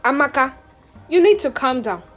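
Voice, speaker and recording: A woman's voice: high voice, expressive, moderate pace, faint music behind. A woman voicing an uneasy, doubting young man in a story, hesitant and serious. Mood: uneasy insistence.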